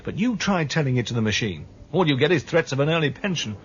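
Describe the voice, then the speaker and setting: An actor playing a stubborn official who argues about a dangerous fugitive. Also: male voice, on the high side, sing-song, fast. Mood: insistent. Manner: insistent, arguing. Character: weary male official chasing fugitives, stubborn. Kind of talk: radio drama acting. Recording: dull lo-fi radio drama, faint noise.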